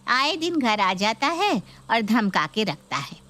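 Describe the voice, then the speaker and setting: A woman's voice: on the high side, very animated, slow, pushed and bright, exaggerated voice. A woman playing a middle-aged mother-in-law who is fawning, anxious and nagging, in a dramatised story. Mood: anxious, complaining. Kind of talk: dramatised Hindi story video.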